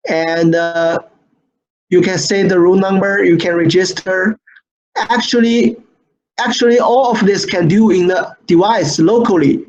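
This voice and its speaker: A man who speaks English as a second language presents an online product training, repeating phrases haltingly and often checking with "right?". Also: sits high, a little mellow. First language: not English. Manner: repetitive, halting phrasing with frequent "right?" tags. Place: screen-share online session. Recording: dull lo-fi online webinar audio.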